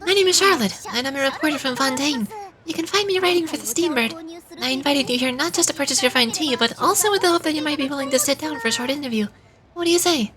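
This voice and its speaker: A woman's voice: sits high, fast talker, a crowd in the background, close to an everyday voice. A woman voicing a polite, eager young female reporter in a fantasy game.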